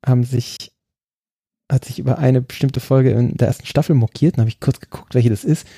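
A man's voice: mid-range voice, a little fast, creaky, mellow, husky, no echo, clean studio sound. A man co-hosting a podcast in casual chat, enthusiastic and laughing often. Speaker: podcast co-host.

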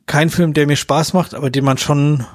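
A man's voice: a little high, steady tone, normal speed, dry recording, clean studio sound. A man speaking as a podcast host in a casual, colloquial film review chat with slangy turns of phrase.

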